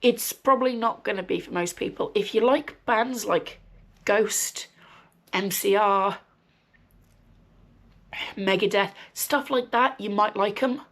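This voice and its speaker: Brummie-ish young woman rambling on her podcast, croaky voice, bit nasal from a cold, lots of ums. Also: medium pitch, slow pace, pauses often, fairly bright tone. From West Midlands, England. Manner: chatty, frequent ums, dry swearing asides. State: recovering from a cold, slightly nasal and wheezy. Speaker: podcast host. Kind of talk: casual rambling chat.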